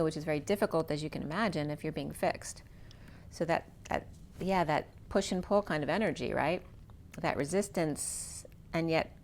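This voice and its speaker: A middle-aged woman giving a spiritual tarot reading in a slow, dreamy, free-associating way, her voice a little worn as she is losing it. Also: lowish voice, a little mellow, a little hiss or hum. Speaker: tarot reader.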